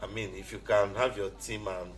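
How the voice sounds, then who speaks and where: A man with a Nigerian accent answers as a radio guest in a deep, low voice. His delivery is conversational, with frequent little "you know" asides. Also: fairly even intonation, normal speed. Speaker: radio guest, competition organiser. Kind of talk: conversational interview talk.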